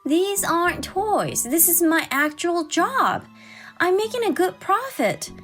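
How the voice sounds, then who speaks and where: A woman voicing a forty-year-old housewife and crafts artist in a dramatized story, expressive and exasperated yet caring. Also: very high-pitched, heavily acted. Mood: exasperated, indignant. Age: 40s. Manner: expressive, indignant in dialogue, measured when narrating. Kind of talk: dramatized first-person story narration and dialogue. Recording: background music under the voice.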